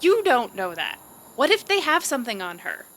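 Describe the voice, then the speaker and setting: A woman's voice: high voice, big pitch swings, normal speed, fairly bright tone, exaggerated voice. A woman playing a scrappy, determined and protective young leader in an audio drama, casual, blunt and conspiratorial. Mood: defensive, earnest.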